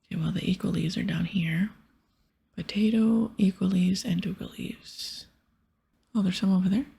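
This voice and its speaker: A woman doing a whispered ASMR game playthrough, casually soft-spoken and breathy as she reads aloud and comments. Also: mid-range voice, steady tone, normal speed. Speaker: ASMR gaming streamer. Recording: soft music behind. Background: soft music.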